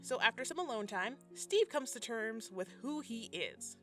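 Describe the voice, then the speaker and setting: A woman's voice: fairly high pitch, animated, normal speed, sharp tone. A woman narrating a scripted video commentary in a sassy, conversational way, with slangy asides. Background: background music.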